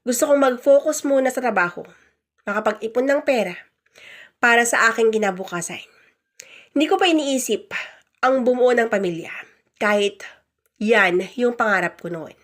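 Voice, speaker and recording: High-voiced woman narrating a dramatic story. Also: normal speed, pauses often. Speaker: storyteller reading a letter sender's story. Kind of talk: dramatic first-person scripted narration.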